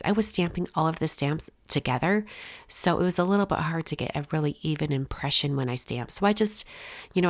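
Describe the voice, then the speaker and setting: A woman's voice: low voice, creaky, no echo, clean studio sound. A woman hosting a card-making channel, narrating a casual step-by-step voice-over while congested from a head cold. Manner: chatty, relaxed, explains step by step.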